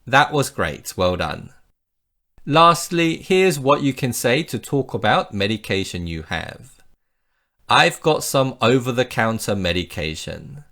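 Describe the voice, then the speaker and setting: A man's voice: medium pitch, sing-song, unhurried, sharp tone. A man teaching an English lesson, explaining phrases patiently and modelling example sentences for the listener to repeat. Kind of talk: slow scripted English lesson.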